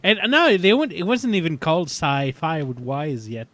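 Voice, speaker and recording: A man who is a non-native English speaker hosting a casual chat, haltingly and often repeating words. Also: a little high, big pitch swings, fairly bright tone, no echo. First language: not English. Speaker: podcast host.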